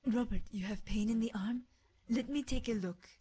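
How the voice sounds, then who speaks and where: Visual novel casting: anxious young woman played by an actress, slightly high pitch, soft and fretful. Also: moderate pace, lightly acted. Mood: worried.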